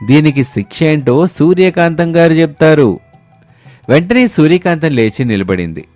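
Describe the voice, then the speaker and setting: A man's voice: fairly high pitch, animated, moderate pace, a little mellow, no room sound. A man narrating a scripted moral story as its storyteller. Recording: dry studio, music behind.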